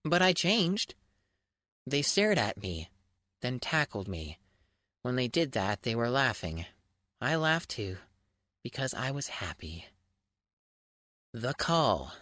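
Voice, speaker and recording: Male audiobook narrator, theatrical and mock-grand, highly animated intonation, dry recording. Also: slow, long pauses, no room sound. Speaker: fan fiction audiobook narrator. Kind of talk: dramatic scripted first-person narration.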